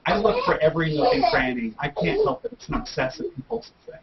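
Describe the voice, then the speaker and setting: A man's voice: on the high side, wildly swooping pitch, quick pace, very noisy. A man chatting casually as a participant in a group video call. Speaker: hangout participant. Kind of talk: casual chat. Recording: muffled video call with loud crowd chatter.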